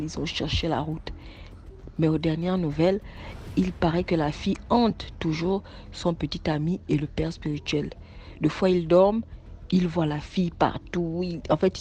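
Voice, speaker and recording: A woman's voice: deep voice, dark tone. A woman telling a true spooky story in an earnest way, repeating phrases for emphasis, then urging listeners to pray and subscribe. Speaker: story contributor. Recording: slightly dull sound with faint noise.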